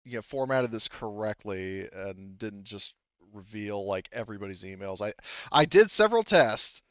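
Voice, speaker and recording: Male game master, deep-leaning and clean-toned, informal and profane, on a slightly dull voice chat. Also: lively intonation, moderate pace, dry recording. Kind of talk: casual chat running an online tabletop game.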